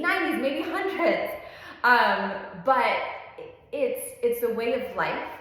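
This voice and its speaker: A woman's voice: a little high, fairly bright tone. A woman in her thirties, a pilates instructor originally from France, talking about herself in an easygoing way with frequent ums and open enthusiasm. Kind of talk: relaxed personal introduction. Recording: very echoey room with long reverb.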